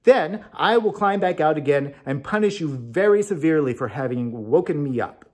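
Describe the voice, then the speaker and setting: A man's voice: on the high side, expressive, moderate pace, a little mellow. A man voicing a grumpy, fat little magical man who threatens and pleads in a storybook tale. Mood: grumpy, threatening. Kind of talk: storybook character reading.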